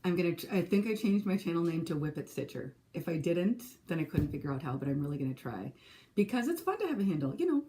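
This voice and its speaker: Chatty woman with a mellow, low voice. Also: sing-song, fast. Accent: Minnesotan. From California. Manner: rambling, self-correcting, giggly. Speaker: second grade teacher and stitching vlogger. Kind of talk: casual chatty vlog.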